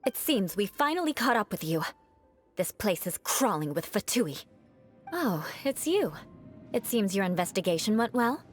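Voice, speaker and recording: A young aristocratic knight in a fantasy game. Her medium-pitched voice is formal and measured, proud and coolly defiant, with music underneath. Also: big pitch swings. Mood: composed. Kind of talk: video game voice acting.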